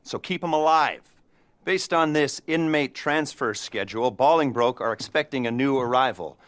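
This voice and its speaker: A man's voice: medium pitch, moderate pace, fairly bright tone, close to an everyday voice. An actor playing a middle-aged criminal mastermind who briefs his crew with awkward, self-mocking humor and nervous laughter, in a video game cutscene. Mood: wry. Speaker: heist planner briefing a crew.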